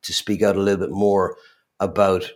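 Halting, easygoing man, lowish voice. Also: level tone. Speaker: podcast host. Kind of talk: casual conversational interview.

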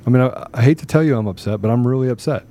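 A man speaks as the host of a live talk show, in a voice of average pitch. His delivery is casual, and he tends to repeat phrases to drive a point home. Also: racing, warm tone. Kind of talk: casual live talk, sometimes reading questions aloud.

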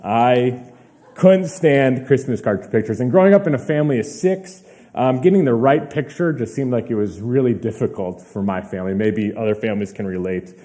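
A young man, slightly hoarse, giving a relaxed, chatty sermon as a pastor, with fillers and personal asides. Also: medium pitch, normal speed.

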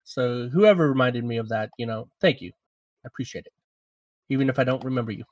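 A man's voice: mid-range voice, quick pace, dry recording. A man with a slight lisp, co-hosting a casual gaming podcast, rambling and mocking himself. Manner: rambling, self-mocking, laughs often. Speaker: podcast co-host.

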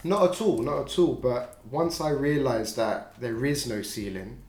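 A man's voice: mid-range voice, a little slow, a little mellow, roomy sound. A man, a podcast guest chatting casually with lots of fillers and laughs. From North London. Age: 20s. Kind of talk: casual chat.

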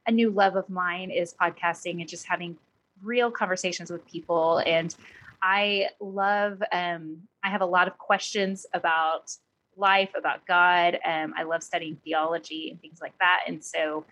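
A woman's voice: fairly low pitch, steady tone, a little slow, fairly bright tone, rough recording. A woman in her thirties from Oklahoma, a podcast guest who speaks in a candid, thoughtful way. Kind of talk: conversational interview.

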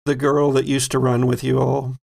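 A man narrating a tabletop role-playing game as game master, telling it suspensefully with deliberate pauses. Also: medium pitch, flat delivery, vocal fry, darkish tone.

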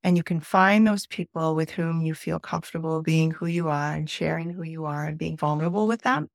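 A woman's voice: deep voice, fairly quick, creaky, no echo, clean studio sound. A middle-aged woman from Peru, a podcast guest, telling a thoughtful personal story with frequent restarts. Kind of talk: reflective conversational storytelling.

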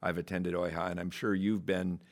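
A man's voice: sits low, level tone, brisk. A man hosting a podcast interview, joking with his guest and talking in long rambling questions with many restarts. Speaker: podcast host.

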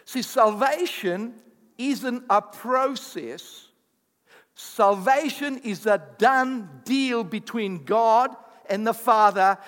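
A man preaching a sermon as pastor, speaking English as a second language, with emphatic rising bursts and quick asides. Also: high voice, big-room sound. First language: not English.

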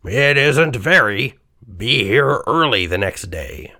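A man's voice: mid-range voice, expressive, slow pace, sharp tone, no room sound, studio quality, pitched above the performer's own voice, exaggerated voice. A man voicing a greedy, cold-hearted old miser who talks in a snappish, cranky way.

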